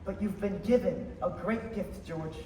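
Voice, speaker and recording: Young man as a gentle, high-voiced old angel. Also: a little slow, a little hiss or hum. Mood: earnest explaining. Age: young adult. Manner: earnest, patiently explaining. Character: gentle, innocent, centuries-old male guardian angel. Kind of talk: high school stage radio play acting. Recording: distant echoey theater recording with music.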